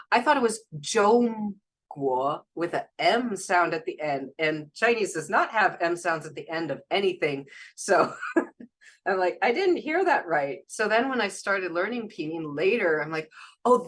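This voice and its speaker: A woman's voice: lowish voice, fairly bright tone. A woman guest speaking casually in an interview, a translator and former Chinese teacher, rambling and chatty with frequent laughs. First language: English.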